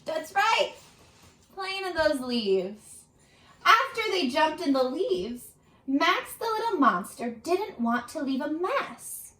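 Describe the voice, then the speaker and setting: A woman reading a children's story aloud in an expressive, teacherly manner. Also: high-pitched, slow, roomy sound. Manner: expressive, asks the young listeners questions.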